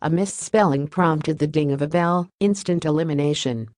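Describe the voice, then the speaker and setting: A woman reading a news article aloud in a steady, even manner. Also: low-pitched, moderate pace, dry recording, studio quality. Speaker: narrator.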